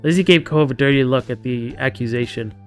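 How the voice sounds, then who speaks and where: A man's voice: medium pitch, brisk, faint music behind. A man casually live-streaming a game, switching between reading narration aloud and sarcastic, sweary asides.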